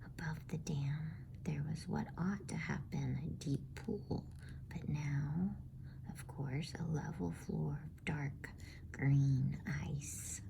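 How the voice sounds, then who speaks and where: A woman reading a bedtime story in a soothing, breathy ASMR whisper with deliberate pauses. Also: low voice, fairly even intonation. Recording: faint background noise.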